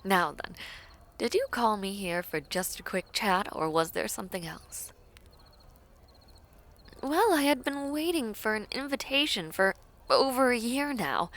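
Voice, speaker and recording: Slow, tender princess in love, acted by a woman. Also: mid-range voice, fairly bright tone. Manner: slow, formal, coy, often dropping to breathy whispers. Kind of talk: intimate romantic audio roleplay acting.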